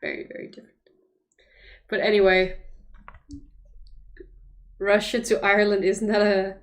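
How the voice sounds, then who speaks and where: A young woman from Denmark, commentating chess live on a stream and thinking aloud over the moves with hesitant restarts. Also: medium pitch. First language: Danish.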